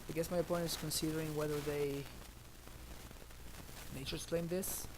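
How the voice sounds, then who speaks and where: A man's voice: a little high, flat delivery, moderate pace, background noise. A man in his thirties from Argentina, streaming and commenting casually on his card game as he plays, thinking aloud with many fillers, on a headset in a small room.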